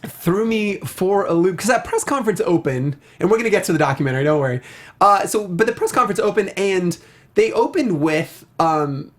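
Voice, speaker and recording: A man's voice: high-pitched, lively intonation, a little fast. An American man co-hosting a casual, enthusiastic talk show, rambling and often interrupting himself as he goes. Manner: rambling, self-interrupting, effusive. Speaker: podcast co-host.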